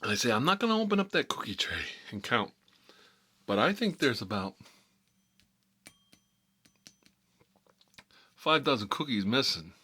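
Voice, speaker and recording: A man playing a gruff, sardonic mob boss who rambles and repeats himself through mock threats, in an ASMR-style comic monologue with a husky voice. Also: mid-range voice, big pitch swings, a little fast, sharp tone, exaggerated voice. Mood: grumbling, wry.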